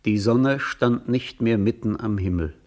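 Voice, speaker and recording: A man acting as the detached, observant narrator of a literary radio play, reading poetic description in a measured, composed way. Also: on the low side, darkish tone, close to an everyday voice.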